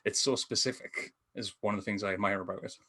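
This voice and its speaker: A man's voice: lowish voice, fairly even intonation, fast, bright, forceful tone. A man in his thirties casually reviewing films in a rambling, enthusiastic way over a headset. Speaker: podcast film reviewer. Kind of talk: casual film discussion. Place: indoor room at home, on a video call.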